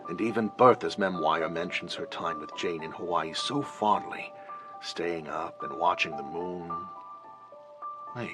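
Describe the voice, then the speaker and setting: A man playing a late-night obsessed doctor. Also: sits low. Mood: brooding. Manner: muttering, then bursting into excited shouts. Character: obsessed male doctor investigating an old murder. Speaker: actor playing the investigating doctor. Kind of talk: radio drama acting.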